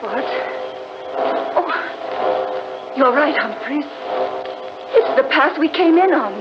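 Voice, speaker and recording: A frightened, worn-out woman in a vintage radio thriller. Her delivery is slow and highly dramatic, with big swings in pitch. The old recording is dull, with prominent music behind her. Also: expressive. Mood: relieved. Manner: dramatic, breathy gasps and exclamations. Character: weary, frightened woman fleeing a cursed forest. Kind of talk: 1940s radio drama acting.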